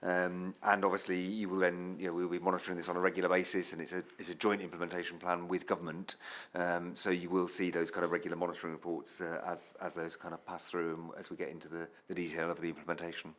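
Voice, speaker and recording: A man's voice: low voice, level tone, fast talker, a bit bright. A man presenting a plan to a council committee, hesitant with many fillers and restarts. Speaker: council officer presenting a strategy.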